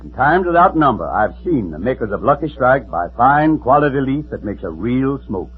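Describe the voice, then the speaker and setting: Male testimonial reader, 1940s radio ad, lively intonation, thin old recording. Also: mid-range voice, moderate pace, phone-quality sound, faint music behind.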